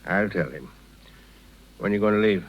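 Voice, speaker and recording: An actor playing a stuffy, coldly polite, disapproving town gentleman with formal, measured speech in a 1930s radio drama. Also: on the low side, animated, natural voice. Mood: satisfied, formal. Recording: muffled 1930s radio broadcast.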